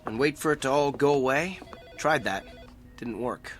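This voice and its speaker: A man's voice: medium pitch, normal speed, soft background music, lightly acted. An actor playing a troubled, sardonic company man in therapy in an audio drama, with a curt, defensive delivery.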